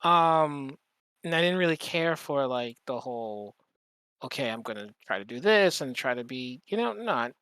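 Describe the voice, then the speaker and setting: A man hosting a podcast in casual conversation, speaking informally and a little haltingly. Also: mid-range voice, lively intonation, pushed and bright, clean tone, dry recording. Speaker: podcast host.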